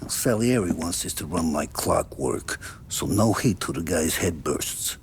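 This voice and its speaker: A gangland gun supplier in a crime game. His voice is deep and gruff, businesslike and matter-of-fact. There is clear noise with clicking around him. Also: animated, a little slow, full character voice. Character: male mob armorer, matter-of-fact underworld fixer. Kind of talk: cinematic video game acting.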